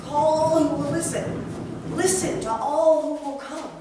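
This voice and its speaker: A woman's voice: high-pitched, steady tone, a little slow, background noise. A woman on stage playing a placid, blissful prophet who chants aphorisms. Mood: blissful, chanting. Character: patient, blissful robed prophet of collective conscience. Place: indoor theater stage. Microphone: far camera. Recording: echoey theater from far off, loud music.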